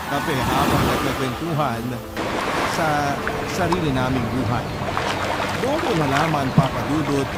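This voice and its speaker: A man's voice: mid-range voice, natural voice. An actor playing a guilt-ridden married man, narrating his confession earnestly in a radio drama. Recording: narration over loud music bed.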